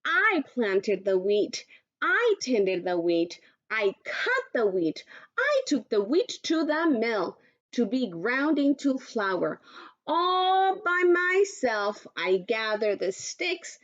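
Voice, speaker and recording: A woman playing a hardworking, firm female storybook hen who speaks with slow emphasis, in a dull recording. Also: on the high side, very animated, a bit bright, heavily acted. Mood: firm, proud.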